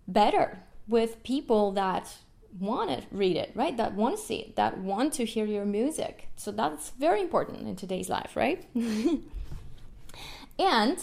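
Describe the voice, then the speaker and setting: A young adult woman from Ukraine, a native Russian speaker, hosting a solo podcast as a music educator. She talks in an animated way, often checking in with "right?" and laughing as she goes. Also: fairly high pitch, gravelly.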